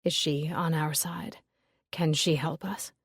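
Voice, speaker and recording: Woman doing Julius, an earnest young gentleman. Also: sits low, little pitch movement, slow, slightly rough, dry recording, clean studio sound, pitched below the performer's own voice, exaggerated voice.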